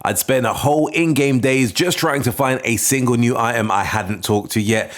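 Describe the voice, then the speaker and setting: Man, game review host, middle-pitched voice, scripted narration, conversational opinion-giving. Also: normal speed.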